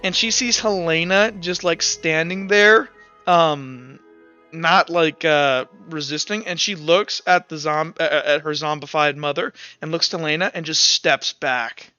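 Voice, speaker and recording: A man's voice: fairly high pitch, a little slow, bright, forceful tone, clean tone, no echo, lo-fi sound, soft background music. A man narrating as game master for a tabletop roleplay, rambling with many fillers.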